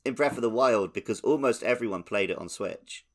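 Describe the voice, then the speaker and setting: Male podcast co-host, lowish voice, informal gaming discussion. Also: a little fast. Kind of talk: casual chat about a video game.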